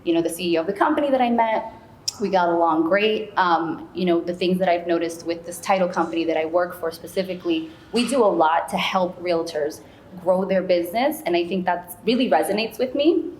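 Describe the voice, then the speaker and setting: A woman with a slightly low voice speaks as an interview guest. She is chatty and conversational, dropping in casual asides as she explains. The recording has a clear room echo and faint background noise. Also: a little fast, darkish tone. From United States, born in Peru. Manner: chatty, frequent "you know" asides.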